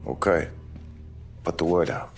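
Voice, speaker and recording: A man's voice: deep voice, level tone, measured pace. An actor playing a loyal mob underling who reports nervously to his boss in a game cutscene. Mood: tense. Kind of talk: video game cutscene acting. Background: background music.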